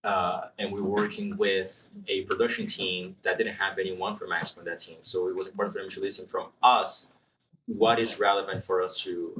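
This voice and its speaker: A low, deep man answers interview questions in a relaxed, explanatory way, thinking aloud as he goes. His voice is bright and forceful despite its depth. He sounds distant from the mic, with a faint hiss in the room. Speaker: marketing executive guest. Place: room with a live student audience.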